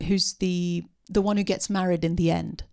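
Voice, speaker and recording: Woman, post-screening panelist, low gravelly voice, hesitant and thoughtful. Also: little pitch movement, moderate pace, darkish tone. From part Syrian. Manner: hesitant, many ums and restarts. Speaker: panelist at a post-film discussion. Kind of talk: unscripted talk answering audience questions.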